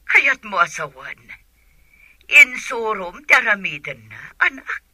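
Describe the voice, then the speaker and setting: Exasperated, confiding Filipina mother, radio serial; piercingly bright, animated, dry sound. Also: mid-range voice, a little slow, slightly rough, no room sound, exaggerated voice. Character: middle-aged mother, exasperated, confiding gossip. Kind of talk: Ilocano radio drama acting.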